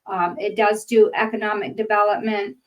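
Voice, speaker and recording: A woman's voice: medium pitch, flat delivery, moderate pace. An older woman from northern Maine with a Boston accent, teaching a grant-writing webinar in a chatty way with many ums and uhs. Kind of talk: webinar presentation. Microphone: webinar microphone.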